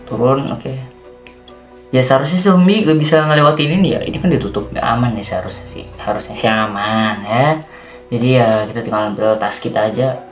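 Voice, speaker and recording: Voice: medium pitch, lightly acted. A man playing a weary, jumpy zombie apocalypse survivor in a Minecraft roleplay, muttering and exclaiming to himself in casual slang. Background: background music.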